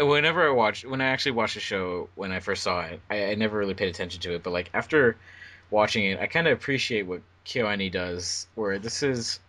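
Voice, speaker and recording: Bright, forceful low-pitched man. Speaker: fandub member and co-commentator. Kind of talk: casual commentary chat.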